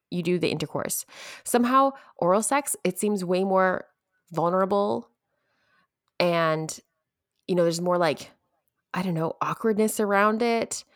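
A woman's voice: fairly low pitch, unhurried, no echo, clean studio sound. A woman co-hosting a podcast, chatting casually in a reflective, hedging way with frequent laughter. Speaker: podcast co-host. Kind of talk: casual chat.

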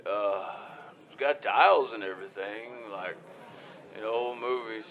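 A man's voice: on the low side, measured pace, slight background noise. A man playing an anxious, clueless hotline caller in an audio drama, hesitant, flustered and meandering over a muffled line. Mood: nervous, rambling. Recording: dull, muffled phone-call sound.

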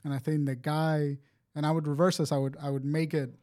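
A man's voice: on the high side, flat delivery, brisk, a little mellow. A man co-hosting a podcast in casual, emphatic banter, often repeating words to agree. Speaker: podcast co-host. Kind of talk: casual pop culture banter.

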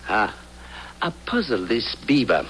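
An actor playing a polite, deliberate European police inspector with formal, precise speech, in old-time radio drama style. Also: male voice, lowish voice, big pitch swings, fairly bright tone. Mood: formal, careful. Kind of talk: 1940s-50s radio drama acting. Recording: slightly noisy old radio recording.

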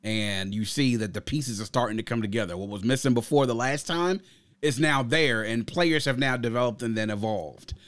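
A man's voice: mid-range voice, normal speed, fairly bright tone. Reflective man hosting a music discussion. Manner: reflective, explains through extended analogies. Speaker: host of an album review discussion. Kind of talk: opinionated conversational music commentary.

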